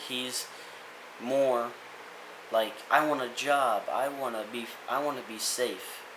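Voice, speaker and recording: A man's voice: mid-range voice, fairly even intonation, slow pace. A young man giving a casual, rambling motivational rant as a vlogger, earnest and full of restarts. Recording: echoey room with hiss.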